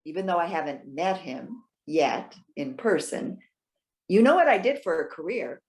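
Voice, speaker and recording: A woman's voice: on the low side, expressive, moderate pace, a little mellow, lightly acted. A woman acting a proud, independent elderly woman in readers theater, articulate, sarcastic and defensive. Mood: defiant.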